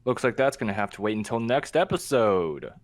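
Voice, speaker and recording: A man's voice: on the low side, animated, fairly quick, no room sound. A man hosting a group podcast in a casual, conversational style. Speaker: podcast host.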